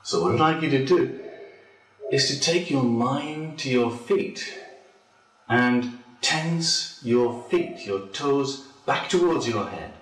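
Guy doing guided yoga relaxation, really slow and deliberate, echoey room, kinda far from the mic. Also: medium pitch, animated. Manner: slow, deliberate, pausing between phrases. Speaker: yoga instructor. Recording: roomy echo, distant from mic.

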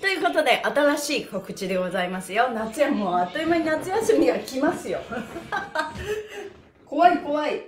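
A middle-aged woman leading a live exercise class in a cheerful, animated way, calling out cues and chants. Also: high-pitched, normal speed. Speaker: stretching instructor and pantomime performer. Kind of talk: live-streamed exercise lesson with chanted counts. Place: small studio room with bare walls and wooden floor. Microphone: device camera a few steps away. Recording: roomy echo, picked up from across room.

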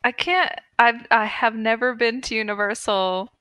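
A woman's voice: a little high, fairly even intonation, normal speed, sharp tone, clean tone, no room sound. A woman co-hosting a podcast, chatting casually and comedically with playful banter. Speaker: podcast co-host.

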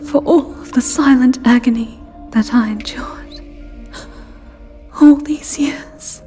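A woman voicing a jealous, heartbroken living doll in a dramatic ASMR roleplay, breathy and halting, pleading through tears. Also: high-pitched, warm tone, soft background music, pitched above the performer's own voice. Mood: wistful, aching. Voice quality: breathy, often whispering.

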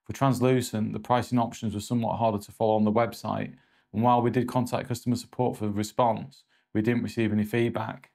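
A man in his forties presenting a scripted review in a measured, matter-of-fact way. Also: fairly low pitch, level tone, gravelly, darkish tone.

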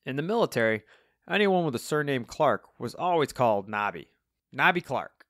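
Audiobook role: eager, boastful elderly Cockney bassist played by a male narrator, raised pitch, close dry sound. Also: normal speed, no echo. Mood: excited. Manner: chirpy, boastful, excitable. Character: cheery old Cockney double-bass player, proud and eager.

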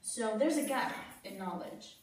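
A young woman from Somalia talking on a panel, telling stories and quoting others in an animated way. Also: a little high, unhurried, a little hiss or hum. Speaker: panel speaker. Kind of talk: panel discussion. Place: large hall on a stage. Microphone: far camera. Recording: echoey hall, taped from far off.

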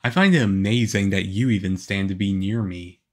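A man playing a shy, moody, lonely young half-vampire boyfriend in an ASMR roleplay, with a hesitant, halting, earnest delivery. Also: deep voice. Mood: hesitant, touched.

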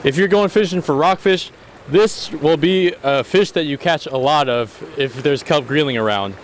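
A young man vlogging while fishing from a rocky sea jetty, explaining his rig casually and exclaiming loudly when fish bite. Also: a little high, moderate pace. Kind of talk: casual solo vlog narration. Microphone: action camera, near and far. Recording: outdoor wind and water noise.

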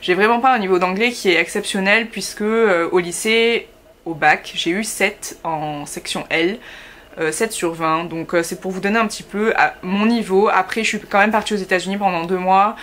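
A woman's voice: mid-range voice, normal speed, a bit bright, slight background noise. A young French woman in her twenties, chatting casually to camera from her bedroom, with lots of fillers and wry asides. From France. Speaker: vlogger, master's student. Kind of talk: casual vlog chat. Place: small bedroom indoors.

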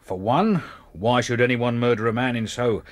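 An English actor playing a sceptical Victorian police inspector in a radio drama, deferential but stubborn. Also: male voice, medium pitch, moderate pace, lightly acted. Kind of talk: BBC radio drama acting.

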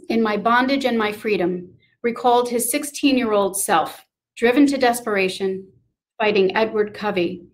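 Female presenter, medium register, for formal scripted history talk; deliberate delivery. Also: medium pitch, rough recording. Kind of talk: scripted historical lecture narration.

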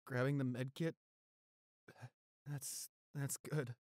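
A gentle, weakened boyfriend , played by a man, speaks in an intimate audio drama. His delivery is slow, tender and halting, with a reassuring softness. The sound is close and dry, at a medium pitch. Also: little pitch movement, dry recording, lightly acted. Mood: tender, pleading. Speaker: audio roleplay performer.

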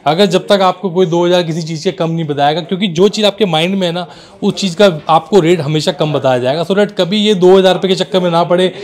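A man's voice: high voice, fast. A man, informally showing and explaining products to viewers as a shop presenter. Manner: explanatory, addresses viewers as brothers. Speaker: auto accessories shop presenter. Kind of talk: informal product showcase to camera. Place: small indoor car accessories showroom.

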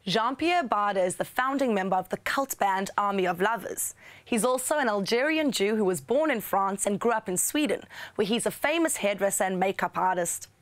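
A young woman hosting a news magazine show, reading a script briskly with wry asides in a studio. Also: mid-range voice, lively intonation, dry recording. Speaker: show host. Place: studio with blue screen.

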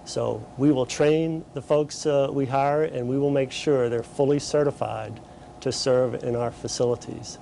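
A man's voice: mid-range voice, steady tone, a little slow, creaky, dark tone, slight background noise. A man speaking as an agency official in a formal interview.

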